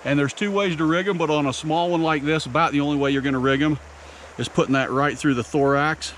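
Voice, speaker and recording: A man's voice: fairly high pitch, fairly even intonation, quick pace, fairly bright tone, a little hiss or hum. A middle-aged American man chatting casually and folksily to camera, as an outdoor fishing host. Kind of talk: casual explaining and chat to camera. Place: outdoors by a creek and meadow.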